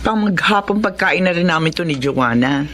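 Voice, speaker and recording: A woman's voice: fairly low pitch, lively intonation, moderate pace, a bit bright, slight background noise. Humble motherly woman. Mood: grateful. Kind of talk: radio drama acting.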